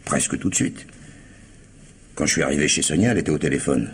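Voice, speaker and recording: An actor playing a streetwise, self-justifying ex-convict protesting under police questioning in a French radio crime drama. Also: male voice, a bass voice, fast talker, husky, exaggerated voice. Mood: earnest, justifying. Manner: slangy, protesting, emphatic.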